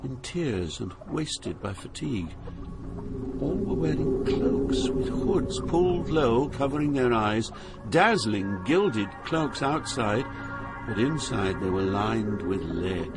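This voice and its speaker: An older actor playing a solemn poet, narrating his journey through hell in a measured, literary way. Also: medium pitch, very animated, over loud music, rough recording. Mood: grave, haunted. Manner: grave, measured, poetic.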